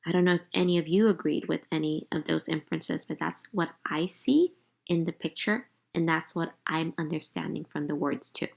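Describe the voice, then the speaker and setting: A woman's voice: low voice, moderate pace, vocal fry. A woman teacher leading a read-aloud lesson for young readers, instructive and speaking directly to her listeners. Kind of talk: read-aloud lesson, storybook narration and guided questions.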